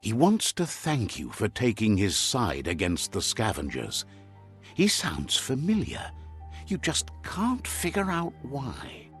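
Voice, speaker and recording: A man narrating a game's story calmly, like a storybook, and relaying what a creature says. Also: medium pitch, takes it slowly. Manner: calm storyteller, translating a creature's speech. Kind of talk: scripted storybook narration for a game. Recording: game audio with faint music behind.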